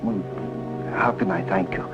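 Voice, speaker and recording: An actor playing a humble, grateful father who speaks haltingly in a 1950s television drama. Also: deep voice, close to an everyday voice. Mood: humbled, grateful. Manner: halting, stammering restarts. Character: humble, grateful, tongue-tied father of a patient. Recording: dull old TV soundtrack with music.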